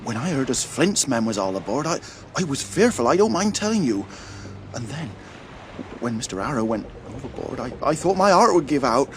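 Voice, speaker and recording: Man as a lively, stammering young sailor. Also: medium pitch, normal speed, slight background noise, natural voice. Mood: nervous, won over. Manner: stammering, earnest. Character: young pirate recruit, nervous, eager and admiring. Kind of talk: dramatised audio adventure acting.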